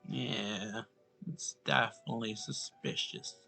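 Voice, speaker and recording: A man giving casual let's play commentary with a raspy, creaky voice, reacting and reading choices aloud. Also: on the low side, fairly even intonation, slow pace, bright, forceful tone, soft background music. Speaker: gaming streamer.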